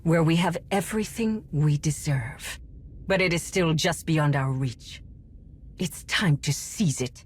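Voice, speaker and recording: A woman's voice: deep voice, unhurried, slight background noise. An actress playing a resolute, commanding female rebel leader in a cinematic video game. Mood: zealous, commanding.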